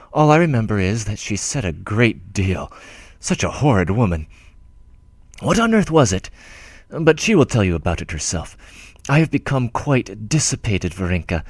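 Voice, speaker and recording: A humble, timid old clerk writes tenderly, rambling and breaking off mid-thought, as a male audiobook reader gives him voice. His delivery swings widely in pitch. The recording is dry and close. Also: sing-song, normal speed, no room sound. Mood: tender fretful. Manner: rambling, self-interrupting, tender. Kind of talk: audiobook letter reading.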